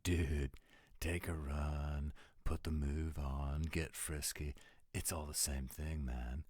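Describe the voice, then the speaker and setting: Male actor as goofy American dude, audio drama. Deep, slow slacker drawl, rambling and clueless. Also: steady tone, darkish tone, no room sound, studio quality, heavily acted. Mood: teasing. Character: laid-back goofy American dude werewolf friend, Moondoggy. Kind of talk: scripted audio roleplay.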